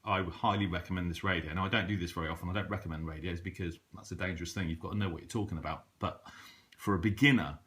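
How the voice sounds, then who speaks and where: A man's voice: deep voice, steady tone, rapid-fire, fairly bright tone. A British man giving a casual, unscripted product review in a hesitant, conversational way. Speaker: hobbyist radio reviewer. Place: indoors at a table in a room.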